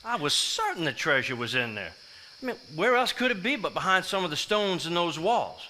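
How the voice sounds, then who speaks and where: Greedy, wheedling husband, played by a man for stage drama; high, forceful, swooping voice, noisy recording. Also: very animated, brisk, heavily acted. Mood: defensive. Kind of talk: live stage play acting.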